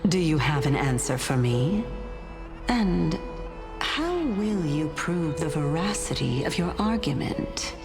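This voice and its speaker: An actress voicing a mysterious, stern woman who speaks in a measured, formal way. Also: deep voice, very animated, full character voice. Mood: grave. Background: game background music.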